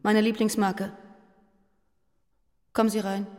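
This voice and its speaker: A woman's voice: mid-range voice, flat delivery, takes it slowly, darkish tone, lightly acted. An actress playing a wary, reserved woman in a radio drama, with restrained delivery. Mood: wary, curt. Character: wary, reserved woman living alone under surveillance. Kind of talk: naturalistic radio drama acting.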